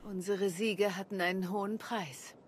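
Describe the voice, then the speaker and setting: An actress playing a resolute, courteous female commander in a video game, calm and formal. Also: mid-range voice, normal speed, a little hiss or hum, lightly acted. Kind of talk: video game cutscene acting.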